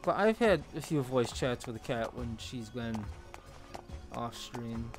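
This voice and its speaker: A laid-back man doing live game commentary at a middling pitch. He rambles casually as he plays, with clear music running behind his voice. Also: animated, unhurried. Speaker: video game streamer.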